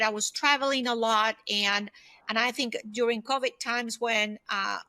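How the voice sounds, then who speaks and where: Bright-voiced woman in her fifties, higher pitch. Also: dry recording. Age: 50s. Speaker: podcast guest, former executive turned solopreneur. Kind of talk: reflective conversational interview answers.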